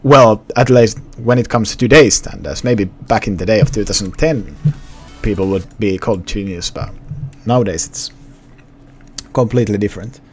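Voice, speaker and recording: A man's voice: on the low side, moderate pace, faint music behind. Young Finnish man chatting on stream. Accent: Finnish, partly suppressed. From Finland. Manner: rambling, restarts, talks to chat. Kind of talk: casual live-stream chat.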